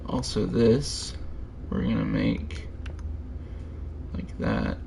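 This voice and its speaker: A man's voice: extremely low pitch, huge pitch swings, drawn-out words, vocal fry, mellow, a little hiss or hum. A man giving casual gameplay commentary, thinking aloud and muttering to himself in short fragments. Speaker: streamer.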